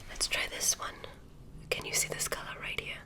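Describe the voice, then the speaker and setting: A woman voicing a curious alien examiner who tests a human, speaking in a soft, clinical ASMR whisper. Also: moderate pace, close to an everyday voice. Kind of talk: ASMR medical roleplay.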